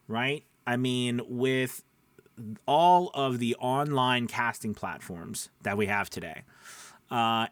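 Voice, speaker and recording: A man's voice: mid-range voice, a bit bright, no room sound. A man hosting an instructional show, talking informally and conversationally, often checking in with listeners. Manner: conversational, peppered with checking tags like "right?". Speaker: host of a voiceover training show. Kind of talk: informal instructional talk to viewers.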